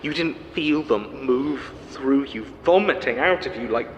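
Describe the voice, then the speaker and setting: A male actor performs an anguished man burdened by guilt over a doomed world. His audio drama delivery is halting, intense and brooding. The sound carries a long reverb and some faint background noise. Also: mid-range voice, animated, dull audio, natural voice.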